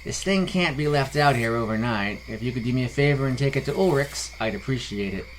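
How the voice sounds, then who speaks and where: Man as a measured, formal town leader. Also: mid-range voice, slight background noise, lightly acted, poor audio. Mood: grateful. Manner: deliberate, formal. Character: measured, grateful town leader, fair and weary.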